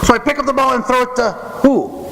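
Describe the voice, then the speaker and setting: A man playing an exasperated, confused baseball fan in a loud, indignant old-time radio comedy act. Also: very high-pitched, steady tone, fast talker, gravelly, full character voice. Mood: puzzled. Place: theatre stage before live audience. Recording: echoey hall live stage recording, noisy.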